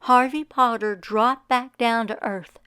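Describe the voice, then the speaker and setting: A woman plays a folksy farmer looking back on a magical summer in a storybook. The voice is fairly high and smooth, in a close, dry recording. The telling is very slow, expressive and full of wonder. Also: dry recording. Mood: wonderstruck. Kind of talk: storybook first-person narration.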